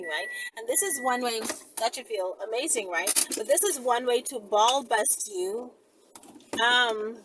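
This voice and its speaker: A woman talking casually to her viewers as a channel host, rambling as she gives step-by-step instructions. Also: on the high side. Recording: clearly noisy, with background ambience.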